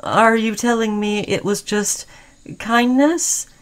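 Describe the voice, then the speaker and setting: A woman playing an obsessed, caring yet menacing sentient robot in an intimate roleplay audio drama, speaking in an earnest, halting way. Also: fairly high pitch, smooth. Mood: hurt.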